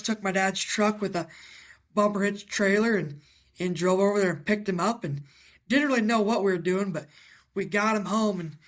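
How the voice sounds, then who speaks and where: Raspy-voiced young man from Iowa. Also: sits high. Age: 20s. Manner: halting, many fillers and restarts. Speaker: guest, young cattle breeder. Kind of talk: casual interview talk.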